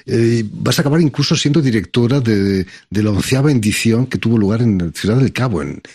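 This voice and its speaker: A man's voice: mid-range voice, expressive, brisk, a little mellow, slightly rough. A man interviewing a guest on the radio, asking questions with an occasional stammered restart.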